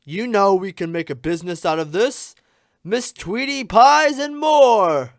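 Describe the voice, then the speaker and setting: A food-loving chicken with a high, very lively voice, performed by a man for a comic radio sketch. He is easygoing yet greedy and comically earnest, prone to exclamations. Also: a little slow, no echo, clean studio sound. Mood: scheming. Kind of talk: radio sketch comedy acting.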